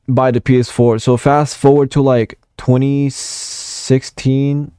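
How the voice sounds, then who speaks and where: A man's voice: medium pitch, level tone, takes it slowly, dark tone, clean tone, no room sound, studio quality. Young man rambling through gaming memories. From Puerto Rico. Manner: rambling, swears a lot, many fillers. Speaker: gaming content creator. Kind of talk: casual storytelling vlog.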